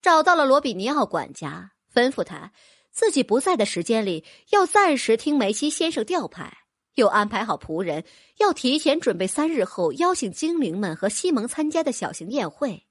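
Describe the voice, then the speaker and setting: A woman with a high, bouncy voice narrating a fantasy novel. Her reading swings widely in pitch, recorded close on a dry mic. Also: normal speed, husky, no room sound. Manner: lively, expressive reading. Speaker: audiobook narrator. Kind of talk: scripted narration.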